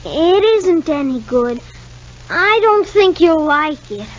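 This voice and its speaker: Radio soap: small boy, high voice, polite, downcast, reticent; dull vintage recording. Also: big pitch swings, slow pace, full character voice. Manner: reticent, short hesitant answers. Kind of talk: 1950s radio soap acting.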